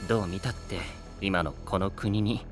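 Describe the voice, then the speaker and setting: An actor playing a composed, sardonic senior disciple who scolds politely and exactingly in an anime-style audio drama. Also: male voice, low voice, fairly even intonation, moderate pace, faint music behind, close to an everyday voice. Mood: wry, exasperated.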